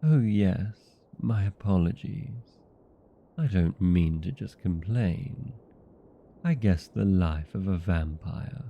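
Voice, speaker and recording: A man playing a chatty, petty, century-old vampire in a comedic audio roleplay, talking in a wry, conversational, mildly complaining way with drawn-out words. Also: low-pitched, creaky, muffled recording, a little hiss or hum, exaggerated voice. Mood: apologetic, reflective. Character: chatty, petty, 127-year-old male vampire being interviewed.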